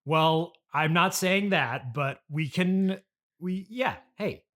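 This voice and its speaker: Halting, awkward man hosting a comedy podcast. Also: on the high side, fairly bright tone. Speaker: podcast host. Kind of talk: improvised comedy interview.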